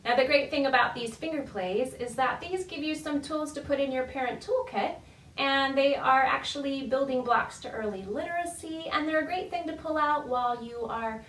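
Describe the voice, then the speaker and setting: High-voiced woman leading storytime in an echoey room. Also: moderate pace, fairly bright tone. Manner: playful, encouraging, simple child-directed phrasing. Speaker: children's librarian leading baby storytime. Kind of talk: sing-song storytime with rhymes, songs and tips to parents. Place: indoor room, recorded virtual session. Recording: echoey room, distant microphone.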